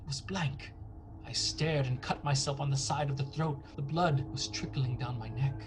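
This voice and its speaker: A man's voice: mid-range voice, normal speed, lightly acted. A man acting anxious young Englishman over music. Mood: uneasy. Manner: dramatic, fearful diary narration. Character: anxious, desperate young English solicitor imprisoned in castle. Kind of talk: radio play acting.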